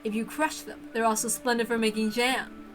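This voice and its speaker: Woman acting an elegant, high-voiced witch hostess. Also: little pitch movement, normal speed, fairly bright tone, faint music behind, natural voice. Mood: warm, proud. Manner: formal, stately, courteous phrasing. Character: elegant, gracious witch hostess, grandly proud yet innocently friendly.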